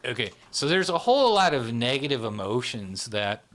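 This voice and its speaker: A middle-aged man explaining psychology in a relaxed interview, often checking in with "right?". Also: mid-range voice, moderate pace. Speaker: psychology channel founder, explaining. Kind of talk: explanatory conversation.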